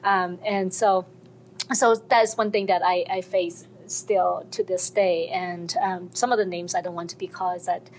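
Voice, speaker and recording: A woman's voice: fairly low pitch, steady tone, unhurried, a little hiss or hum, low-quality recording. A woman with a Chinese accent sharing her experience in a group discussion, hesitant with many fillers. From China. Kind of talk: personal testimony.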